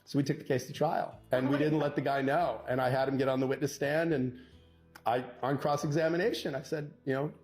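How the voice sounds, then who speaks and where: A man tells a story conversationally, like a lawyer relishing a courtroom tale. He acts out both sides of the dialogue and pauses for effect, with music faintly behind his mid-pitched voice. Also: steady tone, darkish tone. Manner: punchy, acts out quoted dialogue with dramatic pauses. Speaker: divorce lawyer telling an anecdote.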